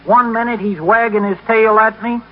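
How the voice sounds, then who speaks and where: Guy doing a whiny high folksy ranch hand sidekick, always griping, old radio comedy, dull muffled sound. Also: steady tone, moderate pace, soft background music, natural voice. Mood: grumbling, comic. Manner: folksy, complaining, rustic grammar. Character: grumbling, hungry comic cowboy ranch hand sidekick. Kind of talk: 1940s radio comedy acting. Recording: muffled 1940s radio broadcast.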